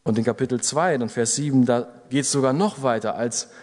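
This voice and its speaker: A man delivers an earnest sermon and presses his listeners with rising emphasis. His voice sits at an ordinary middle pitch. A long reverb trails each phrase through the hall. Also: a little fast, darkish tone. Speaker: preacher.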